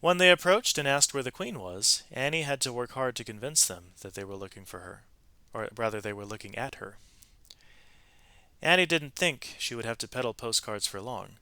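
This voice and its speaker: A man narrating a children's story aloud in an expressive, animated way, with varied emphasis from phrase to phrase. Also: medium pitch, normal speed, no echo.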